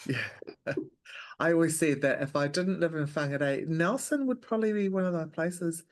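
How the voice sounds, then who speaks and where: A woman's voice: sits low, moderate pace. A woman, hosting a podcast in a casual, chatty interview style. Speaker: podcast host.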